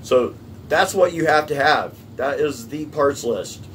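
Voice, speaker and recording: A man's voice: fairly high pitch, measured pace. A middle-aged man, giving a casual, plainspoken hands-on tutorial and repeating his points. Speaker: DIY tool-building presenter. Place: small cluttered indoor workshop. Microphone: camera a few steps away. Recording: distant camera mic, noisy workshop hum.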